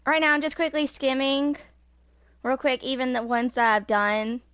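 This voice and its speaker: A woman's voice: sits high, moderate pace, a bit bright, smooth, dry recording. A woman in her twenties making a gaming video, reading lore aloud and commenting casually. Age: 20s.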